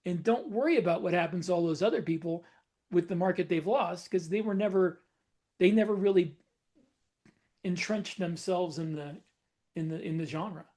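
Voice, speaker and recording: A man's voice: sits high, moderate pace, a little mellow. A man co-hosting a writing podcast, chatty and wry in casual conversation. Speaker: podcast co-host, author. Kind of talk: casual chat.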